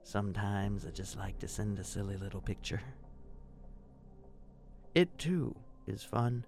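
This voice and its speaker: A man hosts a podcast in a fairly low voice with plenty of gravelly fry. He delivers casual announcements and sign-offs. Faint music sits behind him. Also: expressive, unhurried, a little mellow. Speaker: podcast host.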